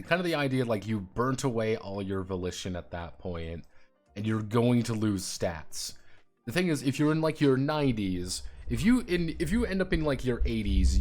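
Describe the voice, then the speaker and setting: A man's voice: fairly low pitch, darkish tone. A man streaming as he designs a tabletop game, explaining rules casually and muttering to himself while he works out the numbers. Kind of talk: casual thinking-aloud explanation.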